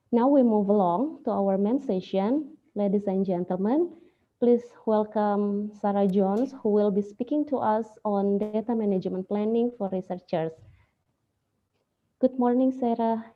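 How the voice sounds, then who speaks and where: A woman moderates a webinar and introduces the next speaker with formal announcements. Her voice sits at a middle pitch. It comes through thin and tinny, like a phone line. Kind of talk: event hosting.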